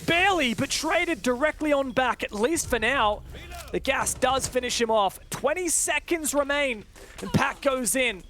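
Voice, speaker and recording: High-pitched male esports caster. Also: animated, unhurried, sharp tone, slight background noise. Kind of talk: live game commentary.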